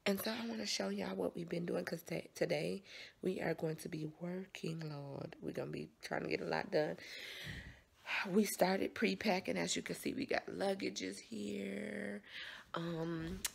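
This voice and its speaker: An American woman with a scratchy, raspy morning voice, chatting casually to her vlog viewers in a folksy, repetitive way. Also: lowish voice, steady tone, a little slow. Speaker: vlogger.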